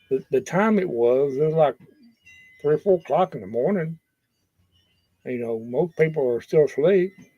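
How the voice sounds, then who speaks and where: A man's voice: on the high side, darkish tone. A man giving a casual, rambling safety talk in a folksy, earnest and blunt manner. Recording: dull, lo-fi sound.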